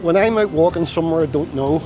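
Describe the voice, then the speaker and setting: A man's voice: fairly high pitch, quick pace. Irish guy rambling on a walking tour, chatty and self-deprecating, a bit chesty, music playing under him. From Ireland. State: chesty, with a tickly cough. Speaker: travel vlogger. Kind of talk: casual walking-tour commentary. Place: outdoors walking through narrow old-town streets.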